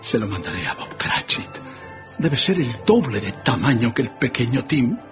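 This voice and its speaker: An actor playing a repentant old miser, fervent and exclamatory, in a theatrical radio drama. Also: male voice, mid-range voice, big pitch swings, moderate pace, natural voice. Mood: delighted. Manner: exclamatory, emotional, repeats words. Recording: dull band-limited audio, music underneath.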